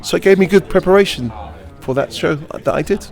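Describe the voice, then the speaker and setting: A middle-aged man who plays in pantomime answers interview questions in a bright, theatrical way. His voice sits a little above the usual male pitch, and he keeps cracking jokes and laughing as he talks. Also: brisk, gravelly, soft background music. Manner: jokey, laughing often, theatrical. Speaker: pantomime actor.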